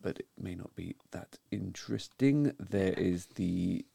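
A man hosting a weekly news talk show, speaking in a measured way with frequent ums. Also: low voice, vocal fry, dark tone, breathy edge, no echo. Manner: measured, hesitant with many ums. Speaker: podcast host. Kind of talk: casual news discussion.

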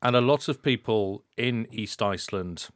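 A man's voice: fairly low pitch, moderate pace, no echo, clean studio sound. A man hosting a podcast in a casual, explanatory way, often checking points with tag questions. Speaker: podcast host. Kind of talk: casual educational news discussion.

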